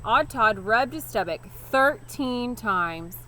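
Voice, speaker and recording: Animated high-voiced woman reading a storybook. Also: low-quality recording. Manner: animated, deliberate emphasis on key words. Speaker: teacher reading aloud. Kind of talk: expressive children's storybook narration. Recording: clear background noise.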